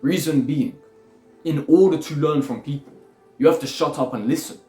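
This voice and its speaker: A man's voice: a little high, normal speed, warm tone. A young man lecturing bluntly in a rambling self-improvement monologue, swearing freely. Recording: echoey room with faint music.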